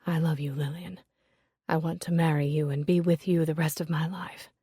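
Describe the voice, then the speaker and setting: A woman voicing an earnest, lovesick young gentleman, speaking low and hushed. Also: little pitch movement, normal speed, slightly rough, no room sound, clean studio sound. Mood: hushed pleading.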